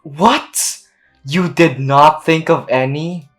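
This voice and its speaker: A woman's voice: sits low, slow, faint music behind. A woman streamer giving casual reaction commentary while playing a video game. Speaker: gameplay streamer.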